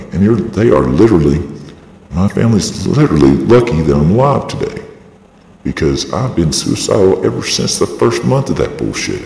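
A man's voice, shifted extremely low by a voice changer, with a gravelly creak. He rambles through a personal statement in a halting, aggrieved way, repeating himself. The sound is cavernous, with long reverb. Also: darkish tone. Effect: pitch-lowering voice changer. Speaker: vlogger giving a personal statement.

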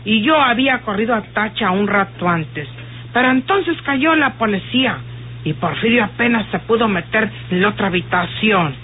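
A woman's voice: on the high side, husky. An actress playing a jealous, rustic woman confiding suspicions in a folksy, hesitant radio drama manner. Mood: aggrieved, confiding. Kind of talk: old radio drama acting. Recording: muffled tinny old radio recording.

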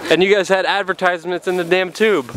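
A man's voice: high-pitched, steady tone, fast, poor audio. A man interviewing casually for a vlog outdoors, with an enthusiastic, informal manner. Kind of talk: casual chat. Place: open field outdoors. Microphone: behind a handheld camera. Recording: outdoor crowd noise.

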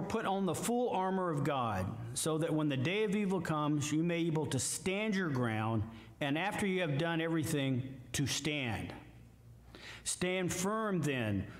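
An older man with a Midwestern American accent, a guest preacher giving a slow, emphatic sermon with scripture readings from a church lectern. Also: on the high side. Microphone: lectern mic, far camera. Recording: echoey church hall from far off.

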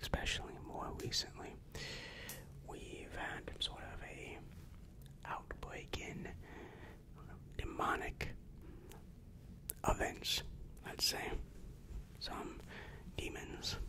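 A man's voice: slow pace, low-quality recording. Whispering young man, ASMR roleplay. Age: young adult. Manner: soft, hesitant, reassuring, repeats phrases. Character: helpful, polite male demon guidance counselor in hell. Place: small dim room indoors. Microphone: large microphone right in front of the mouth.

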